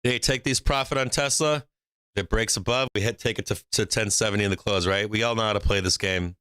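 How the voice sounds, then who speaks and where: A man's voice: lowish voice, fast talker, sharp tone, clean tone, dry recording. A man from Boston hosting a live stock trading stream, brash and joking, laughing often between calls. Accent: faint Boston. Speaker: stock market livestream host.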